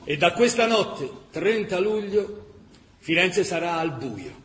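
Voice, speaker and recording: A male actor playing a grave chronicler of a besieged wartime city in a radio drama, measured and emphatic with dramatic pauses. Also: high voice, animated, a bit bright, slight background noise, full character voice. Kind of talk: dramatic scripted documentary narration. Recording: roomy, echoing radio theatre sound.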